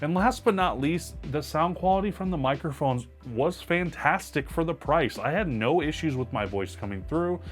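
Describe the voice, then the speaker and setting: A man's voice: a little high, animated, normal speed, hardly any pauses, faint music behind. A man with a powerful voice giving an upbeat, emphatic product review to camera. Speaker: tech reviewer.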